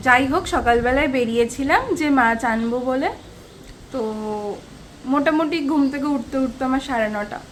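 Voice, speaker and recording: High-voiced West Bengali woman vlogging. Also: poor audio. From West Bengal, India. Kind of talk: casual chatty home vlog, storytelling to viewers. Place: small one-room home. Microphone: handheld camera at arm's length. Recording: faint background noise.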